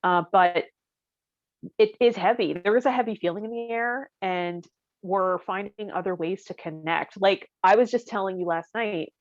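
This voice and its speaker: A woman's voice: mid-range voice, moderate pace, no echo. A woman co-hosting a podcast, chatting casually in a musing, giggly way. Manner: giggly, laughs often while talking. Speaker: podcast co-host. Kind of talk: casual chat. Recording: dull, lo-fi, dry audio.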